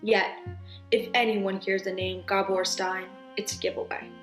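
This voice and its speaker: A woman's voice: medium pitch, little pitch movement, normal speed, close to an everyday voice. Woman acting as worried determined mom in a war drama, echoey room, bit of music behind. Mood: resolute. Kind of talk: theatrical storytelling monologue and dialogue. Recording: roomy home recording with music underneath.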